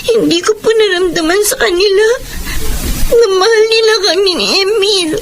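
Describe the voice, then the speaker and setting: A woman's voice: very high-pitched, moderate pace, fairly bright tone, slight background noise. A woman playing a wistful, dutiful young Filipino granddaughter in a family drama, polite and earnest. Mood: wistful, hurt.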